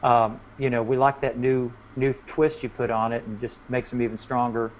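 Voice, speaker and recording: Male guest musician, unhurried rambling chat, understated delivery, muddy low-fidelity recording. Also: level tone, lo-fi sound, a little hiss or hum. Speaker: guest singer-songwriter. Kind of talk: casual chat.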